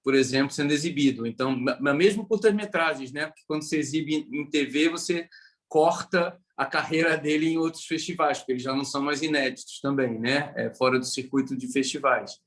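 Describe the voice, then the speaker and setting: A man's voice: on the high side, a little fast, fairly bright tone. A Brazilian man speaking spontaneously in a panel discussion, tentatively circling back over his phrases.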